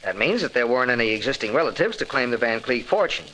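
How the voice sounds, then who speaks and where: A man's voice: mid-range voice, sing-song, quick pace, bright, forceful tone, low-quality recording. A man playing a cocky, wry young newspaper photographer with slangy talk, 1940s radio style. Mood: wry, defiant. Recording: hissy old 1940s radio recording.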